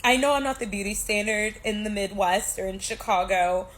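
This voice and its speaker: A woman's voice: medium pitch, moderate pace. A woman in her late twenties talking earnestly in a confessional vlog, searching for words. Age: 20s. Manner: earnest, searching, many fillers.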